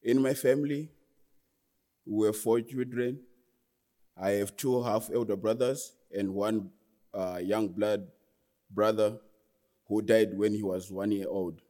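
An earnest young man with a Zimbabwean accent tells his life story from the front of a large hall. His voice sits low and mellow, and he often stops to restart a phrase. The room adds a long, trailing reverb. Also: steady tone, takes it slowly, pauses often. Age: 20s. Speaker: guest speaker. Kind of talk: personal testimony talk.

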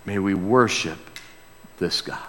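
A man preaching and praying to a congregation, earnest in manner, swelling from hushed to emphatic. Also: low voice, slow. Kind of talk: sermon and prayer to a congregation. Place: large church room or hall. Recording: reverberant hall sound.

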